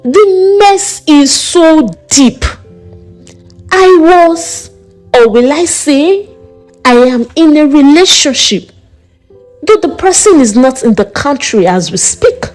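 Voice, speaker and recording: Woman playing a hesitant, high-voiced young woman. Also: expressive, slow, a little mellow, soft background music. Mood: troubled, confessing. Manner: hesitant, emotional. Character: nervous, respectful, lovestruck young Nigerian woman.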